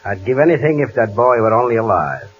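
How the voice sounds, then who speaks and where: An actor playing a tough, slangy gangster wooing a woman in a 1940s radio comedy, gruff in manner. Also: mid-range voice, expressive, fairly quick, natural voice. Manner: gruff, slangy, calls her babe. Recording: dull 1940 radio broadcast with hiss.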